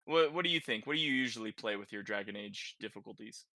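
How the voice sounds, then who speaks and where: Bright-voiced man hosting a casual gaming podcast. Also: lowish voice, animated, fast, gravelly, no echo. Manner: conversational, informal, thinking aloud. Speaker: podcast host. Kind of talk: casual chat about video games.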